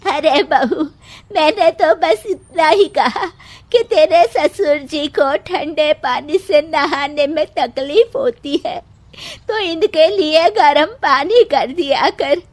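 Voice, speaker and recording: A woman's voice: high voice, slow, darkish tone, pitched above the performer's own voice. A woman voicing a meek, aggrieved elderly grandmother who speaks in a plaintive, pleading way.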